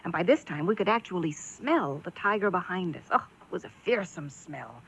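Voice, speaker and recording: A woman playing a genteel ghostly wife with a polite, unhurried, theatrical way of talking in a radio drama. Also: on the low side, lively intonation. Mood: relishing tale. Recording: dull hissy old radio recording.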